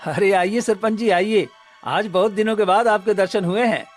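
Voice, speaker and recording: A man's voice: high-pitched, moderate pace, soft background music, lightly acted. A man playing a greedy, scheming food-cart husband in a Hindi cartoon story, with a fawning, pleading manner.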